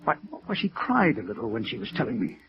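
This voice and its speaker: A man's voice: mid-range voice, sing-song, quick pace, vocal fry. An actor playing a lovestruck, stubborn and defensive man in 1950s radio drama, with an earnest, hesitant manner. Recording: dull vintage radio recording.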